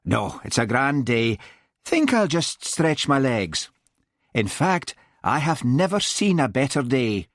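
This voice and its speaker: A man voicing a lazy but shrewd policeman with a Scottish Highland accent, drawling and quietly threatening. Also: big pitch swings, slow, dry recording, studio quality. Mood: falsely cheerful.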